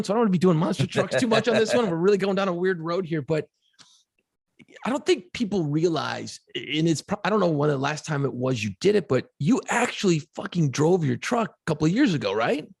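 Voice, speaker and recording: A man's voice: high-pitched, a little fast, vocal fry, breathy edge, dry recording, clean studio sound. A man, co-hosting a podcast in casual chat, telling profane stories with frequent laughs. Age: 50s. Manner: profane, laughing, animated storyteller. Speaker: podcast co-host.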